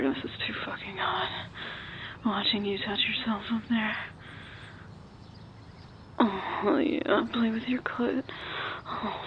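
A woman playing an amorous, coaxing adult lover in an intimate audio roleplay, breathy and often whispering. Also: a little high. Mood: hushed, aroused. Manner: breathy, often whispering, sighing, drawn-out delivery. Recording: muffled, very noisy recording.

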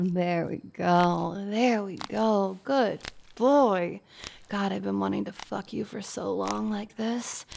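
A dominant, teasing female boss played by a woman in an intimate audio roleplay for adults. Her voice is gravelly with fry and she takes her time, breathing audibly and slipping toward whispers. Clear noise sits behind her. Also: mid-range voice, darkish tone. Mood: breathy, dominant. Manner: breathy delivery with sighs and drops into whispers.

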